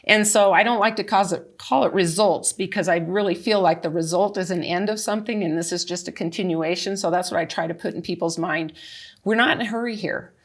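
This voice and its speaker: A woman's voice: on the low side, a little fast. A woman in her early sixties speaking conversationally as a consultant on a panel, sharing personal testimonial.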